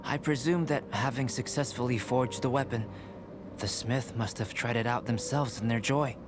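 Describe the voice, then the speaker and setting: Man acting a calm scholarly young alchemist, formal and measured. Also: mid-range voice, background noise, lightly acted. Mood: musing. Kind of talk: video game dialogue acting.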